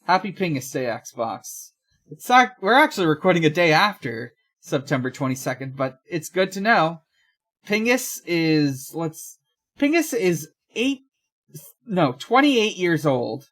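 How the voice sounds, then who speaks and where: A young man co-hosting a podcast in casual chat, talking in a halting, rambling way and often correcting himself. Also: on the high side, sing-song, fairly bright tone. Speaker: podcast co-host.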